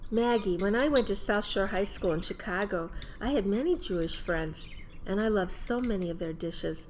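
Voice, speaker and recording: A woman playing a brisk, kindly mother of young children in a radio play. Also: medium pitch, a little mellow, a little hiss or hum, natural voice, low-quality recording.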